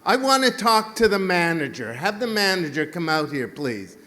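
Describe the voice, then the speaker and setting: A man's voice: sits high, sing-song, normal speed, sharp tone, exaggerated voice. A man voicing an indignant male customer in a joke, complaining about pastry prices. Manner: incredulous, complaining.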